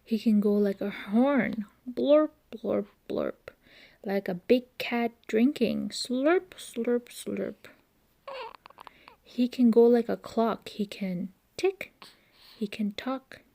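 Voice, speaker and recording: Female children's storyteller: slow delivery, warm relaxed timbre, playful and expressive with noise words. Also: a little high, vocal fry. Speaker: children's book reader. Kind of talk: read-aloud storytelling for young children.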